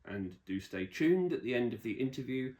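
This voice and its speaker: A man's voice: medium pitch, expressive, normal speed, a little mellow. A man hosting a podcast, introducing and interviewing a guest. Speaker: podcast host, folklore researcher.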